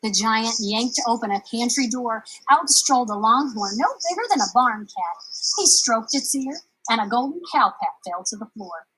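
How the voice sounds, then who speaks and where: Woman storyteller, children's tale, highly animated, slightly husky, bright. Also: moderate pace, poor audio. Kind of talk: expressive children's story reading.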